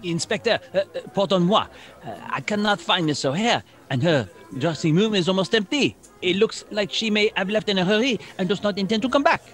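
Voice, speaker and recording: High-pitched French police sergeant sidekick. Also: male voice, animated, brisk, a bit bright. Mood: flustered. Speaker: actor in community theatre radio play. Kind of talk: comic radio play dialogue.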